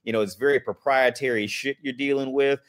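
A man's voice: medium pitch, fairly even intonation, brisk. A man in his thirties, talking in a rambling, casual monologue, swearing often and restarting his sentences. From United States. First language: English.

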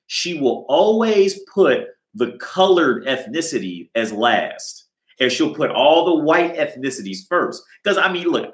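A man's voice: medium pitch, a bit bright, roomy sound. A man talking in a casual monologue, rambling with many repeated words and restarts, blunt and crude. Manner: confrontational, crude.

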